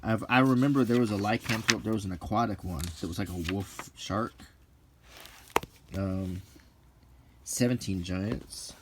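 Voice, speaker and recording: Middle-aged male, low-pitched, casual unscripted ASMR-like delivery, musing and pausing, noticeable background noise. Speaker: channel host. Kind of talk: unscripted casual book comparison, unintentional ASMR.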